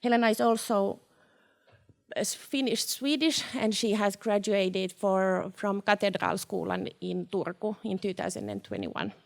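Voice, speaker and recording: Creaky-voiced woman hosting a discussion event. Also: medium pitch, animated, a little slow. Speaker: event host and project manager. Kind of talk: prepared welcome speech to an audience.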